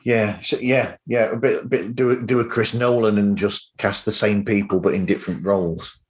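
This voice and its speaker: A man's voice: fairly low pitch, steady tone, normal speed. A man hosting a casual podcast interview in a hesitant, meandering way. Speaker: podcast host.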